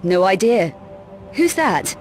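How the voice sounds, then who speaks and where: A woman's voice: medium pitch, sing-song, slow pace, faint music behind. A woman with a British accent playing a tough, sardonic female adventurer in a video game, speaking in a clipped, dry, demanding way. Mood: impatient, sardonic. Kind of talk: video game cutscene acting.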